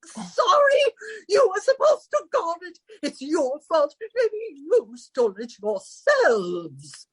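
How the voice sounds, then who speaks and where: A fussy, dramatic society hostess in an old radio comedy. Her voice is extremely high and shrill, swooping theatrically, with a dry close sound. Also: big pitch swings, takes it slowly, dry recording, heavily acted, poor audio. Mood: distraught, accusing. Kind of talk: 1940s radio comedy acting.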